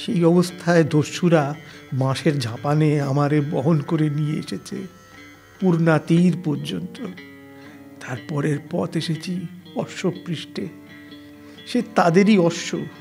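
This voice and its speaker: Troubled, devout older gentleman, male actor: slightly high voice, very slow, formal archaic diction, plucked strings behind. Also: gravelly. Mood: distressed. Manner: formal archaic literary Bengali, halting with emotion. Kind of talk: dramatized audio story acting. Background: plucked string instrument music.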